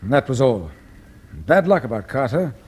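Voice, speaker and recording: An actor playing an authoritative space mission controller in 1950s British radio drama, formal, clipped and measured in his delivery. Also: mid-range voice, big pitch swings, a little mellow, natural voice. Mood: grave. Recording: noisy vintage 1950s radio recording.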